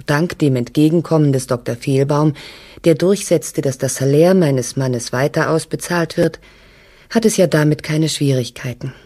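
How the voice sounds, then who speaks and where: Husky, confiding troubled wife. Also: female voice, low voice, dark tone. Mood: confiding, hopeful. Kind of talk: intimate letter monologue in radio drama.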